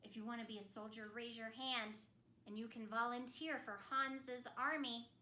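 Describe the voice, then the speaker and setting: A woman's voice: on the high side, steady tone, normal speed, sharp tone. A woman telling a children's story in the first person as an ice queen, theatrical and speaking simply and directly to children. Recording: roomy echo.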